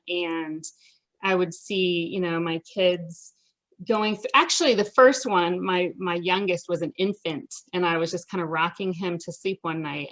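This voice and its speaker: A woman's voice: lowish voice, fairly bright tone, rough recording. A middle-aged woman with an American accent, chatting enthusiastically as an interviewed author and telling personal stories casually. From United States.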